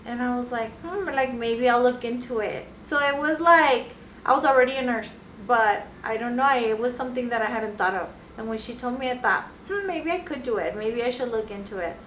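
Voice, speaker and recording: A woman in her forties chatting casually as a podcast guest, her voice a little high, set back from the mic in an echoey room. She tells stories loosely, full of "like"s, and acts out what others said. A faint hiss sits under the recording. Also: a little fast, fairly bright tone, far from the mic. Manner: storytelling, acting out quoted voices, many likes. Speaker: guest nurse injector.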